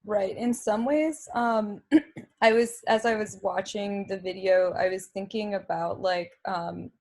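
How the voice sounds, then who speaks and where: A woman's voice: medium pitch, steady tone, slow, a little mellow. A woman hosting a podcast, asking long, rambling questions full of fillers and restarts. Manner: rambling, hesitant, many fillers. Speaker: podcast host. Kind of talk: casual conversation.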